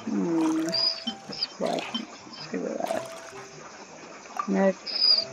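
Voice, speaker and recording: A woman playing a video game, casually muttering and thinking aloud in a halting way over game music. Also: on the low side, steady tone, creaky.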